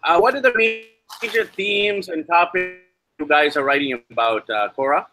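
A man hosting a travel podcast over a video call from a hostel, chatty and filling pauses with "uh". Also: on the high side, expressive, sharp tone, faint music behind, rough recording. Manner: chatty, many uh fillers. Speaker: podcast host. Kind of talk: podcast interview. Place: hostel with weak Wi-Fi.

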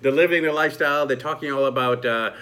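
A man's voice: medium pitch, fast, bright, forceful tone. A man hosting an online interview show in an enthusiastic, chatty way.

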